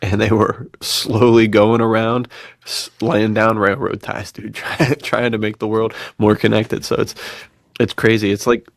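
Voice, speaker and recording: A man co-hosting a podcast in casual, excitable, slangy conversation. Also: fairly low pitch, a little slow, no room sound, clean studio sound. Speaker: podcast co-host. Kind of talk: casual enthusiastic chat.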